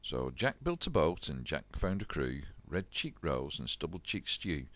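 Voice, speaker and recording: An older man reading a rhyming picture book aloud to his grandchildren in an animated, rhythmic way. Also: very deep voice, measured pace, no room sound.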